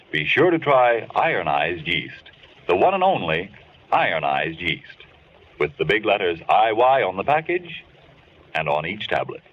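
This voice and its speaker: A man's voice: fairly low pitch, husky. A man reading an old-time radio advertisement and sign-off as announcer, in an emphatic salesman manner. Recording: dull old radio broadcast.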